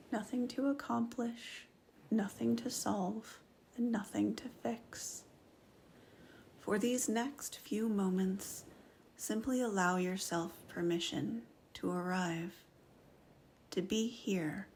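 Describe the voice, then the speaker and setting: Woman doing a guided meditation, super slow and breathy-whispery, faint hiss behind her. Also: mid-range voice, fairly even intonation. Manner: soft, slow, often whispered.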